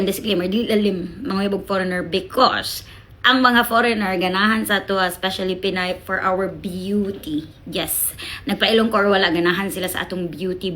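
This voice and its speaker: A woman's voice: mid-range voice, a bit bright. A woman from the Philippines with a Bisaya Filipino accent, chatting casually as a vlogger and giving frank, emphatic advice with rhetorical questions and little tag words. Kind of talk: casual chat to camera, giving blunt advice.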